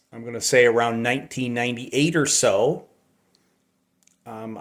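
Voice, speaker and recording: A middle-aged man tells personal stories casually. His delivery is unhurried and reflective, halting between short phrases, at an average male pitch. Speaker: storyteller, amateur voice actor.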